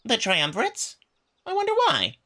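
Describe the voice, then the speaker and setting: A man's voice: extremely high pitch, huge pitch swings, normal speed, gravelly, bright, forceful tone, pitched above the performer's own voice, heavily acted. A man voicing a reserved male cat who is polite, coy and a little sly. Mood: feigned innocence.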